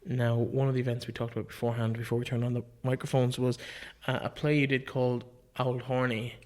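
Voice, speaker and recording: A man's voice: medium pitch, little pitch movement, fast talker, a little mellow. An Irish man hosting a podcast, introducing and interviewing a guest in a conversational style.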